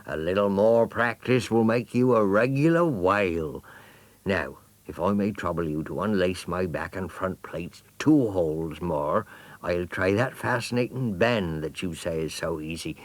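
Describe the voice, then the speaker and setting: A man voicing a slow, solid tortoise in a storybook, measured and mild-mannered. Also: sits low, dry recording. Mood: courteous, encouraging. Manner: measured, courteous.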